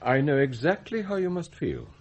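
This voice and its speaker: An actor playing a scheming, deferential English butler in a radio drama, formal, unctuous and theatrical. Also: male voice, fairly high pitch, lively intonation, normal speed, lo-fi sound. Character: scheming, outwardly deferential butler, secretly anguished and devoted. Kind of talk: radio drama acting, confessional narration and dialogue.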